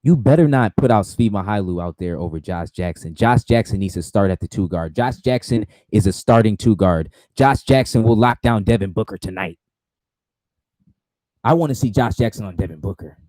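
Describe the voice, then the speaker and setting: A man in his twenties chatting casually with his live-stream viewers from a home setup, excitable and repetitive. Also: lowish voice, moderate pace. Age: 20s. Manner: excitable, repetitive, talks straight to chat. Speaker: sports streamer. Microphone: desk mic and headphones.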